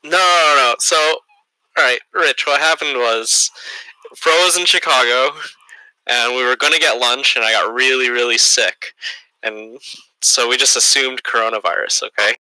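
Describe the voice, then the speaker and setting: A man's voice: medium pitch, unhurried, bright, forceful tone, clear, resonant tone, no room sound, low-quality recording. A young man co-commenting on a card game tournament, bantering in a playful, teasing way. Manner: playful, teasing, defensive joking. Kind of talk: joking casual commentary banter.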